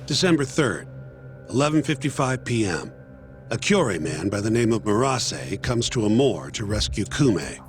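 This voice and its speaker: A man's voice: lowish voice, sing-song, normal speed, soft background music. A man playing an assertive investigator laying out a case in a video game cutscene. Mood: confident.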